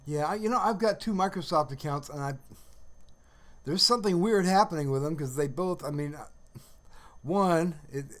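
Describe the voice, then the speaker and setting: A man talks casually as a livestream host. His voice sits a little higher than average for a man. He rambles in a halting way, starting thoughts, restarting them and letting some trail off. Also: fairly bright tone.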